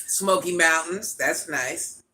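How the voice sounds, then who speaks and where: A man with an itchy, scratchy throat, hosting a livestream in a grandmother persona and chatting through viewer comments with quick laughs and asides. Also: a little high, bright, forceful tone. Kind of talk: casual live chat answering viewer comments.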